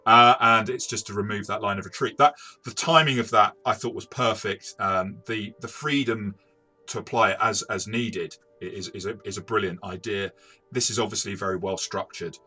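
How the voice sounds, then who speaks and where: A man in a conversational game review, speaking in a hesitant, halting way and often correcting himself. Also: fairly low pitch, pushed and bright, faint music behind. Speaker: game reviewer and experienced game master.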